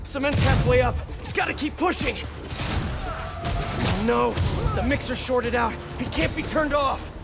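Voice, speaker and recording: A young video game hero with a strikingly high voice. He is glib and conversational, quick to joke yet earnest as a mentor. Loud music plays behind him. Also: creaky, heavily acted. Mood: urgent, focused. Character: young wisecracking male superhero, earnest mentor to a teen.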